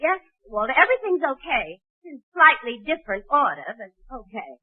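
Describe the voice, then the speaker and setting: An actress playing a wry, sarcastic schoolteacher in a 1940s radio comedy. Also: female voice, high voice, very animated, fairly quick. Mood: sardonic. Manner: dry sarcastic wisecracking. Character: wry, sardonic female high school English-type teacher. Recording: muffled old 1940s radio recording.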